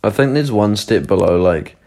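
A young man with a fairly low voice and a light New Zealand accent. He talks like a podcast co-host in relaxed conversation, with a dry, understated way of putting things. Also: normal speed. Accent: mild New Zealand. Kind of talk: casual chat.

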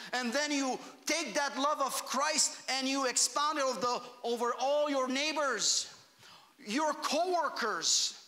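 A fervent male preacher, his voice very high and bright. He speaks slowly but with a lively, swooping pitch, rising to shouts on key phrases. The sermon rings out with long hall reverb. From Romania. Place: church hall.

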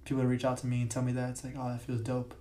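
A young man hosting his own podcast, his voice raspy today, talking casually with many fillers and restarts, recorded in a big room. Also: mid-range voice, monotone, racing, mellow. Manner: rambling, filler-heavy, self-correcting. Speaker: podcast host. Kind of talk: casual solo podcast talk. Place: large echoey room. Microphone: podcast mic.

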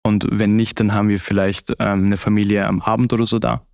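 A man's voice: on the low side, flat delivery, a little fast, creaky, darkish tone, no echo, studio quality. A young man with an Austrian accent, talking reflectively and conversationally as an interview guest. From Austria (Vorarlberg).

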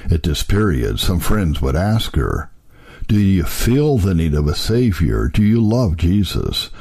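A man with a husky voice gives a solemn, measured, earnest reading of an old religious text, in the manner of an audiobook narrator. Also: deep voice, very animated, warm tone.

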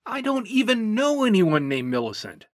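A woman's voice: medium pitch, big pitch swings, normal speed, dry recording, clean studio sound, pitched above the performer's own voice. A woman voicing a puzzled, easygoing young man who sounds bewildered and defensive in an audiobook. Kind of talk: audiobook character voice.

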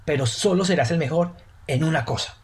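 A man's voice: a little high, brisk. A Colombian man, a pastry chef, speaking as a podcast guest in an earnest way, often repeating words as he searches for them. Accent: Colombian Spanish. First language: Spanish. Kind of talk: casual podcast interview.

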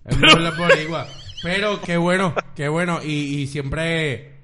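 Slangy Puerto Rican man, slightly high voice. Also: steady tone, measured pace, very noisy. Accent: Puerto Rican Spanish. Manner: crude, teasing, slangy. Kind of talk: casual group chat.

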